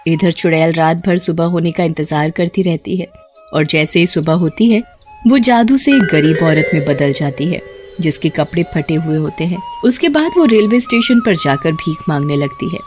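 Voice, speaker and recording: A woman's voice: on the low side, lively intonation, moderate pace, music in the background. A woman narrating a scripted tale as a storyteller.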